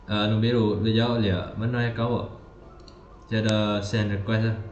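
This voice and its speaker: Casting: male gaming streamer, lowish voice, casual muttered chat, dull roomy sound. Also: level tone, brisk, a little mellow. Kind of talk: casual game livestream chat.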